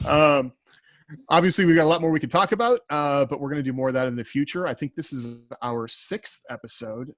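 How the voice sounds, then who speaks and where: A man with a medium-pitched voice hosts a podcast in a close, dry sound. He speaks informally and a little haltingly, dropping in self-aware asides as he goes. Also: no echo. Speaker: podcast host. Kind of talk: casual, loosely scripted podcast chat.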